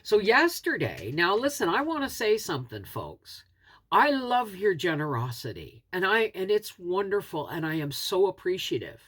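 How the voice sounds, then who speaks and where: A woman's voice: fairly low pitch, very animated, moderate pace, fairly bright tone, husky. A middle-aged woman with a head cold and a scratchy throat, a homesteading host chatting earnestly to camera. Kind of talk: casual talk to camera.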